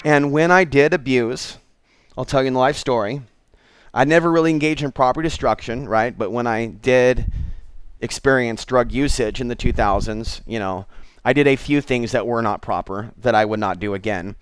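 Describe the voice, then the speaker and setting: Middle aged american guy rambling to his viewers, smooth clear voice, really dry sound, kinda confiding. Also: medium pitch, normal speed, no room sound. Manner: candid, confiding, digressive. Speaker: host of his own online show. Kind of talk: unscripted rambling monologue to viewers.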